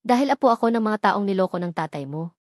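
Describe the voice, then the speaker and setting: A woman's voice: mid-range voice, expressive, fast talker, no echo, clean studio sound, lightly acted. A woman voicing a hurt, proud young maid in a story, emphatic and accusing. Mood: bitter accusation.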